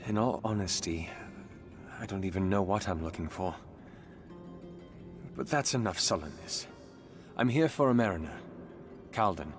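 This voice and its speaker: A weary, troubled inspector. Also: male voice, low-pitched, expressive, normal speed, long pauses, over music. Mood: earnest. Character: weary male city inspector, troubled but fond. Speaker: game character. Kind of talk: video game voice acting.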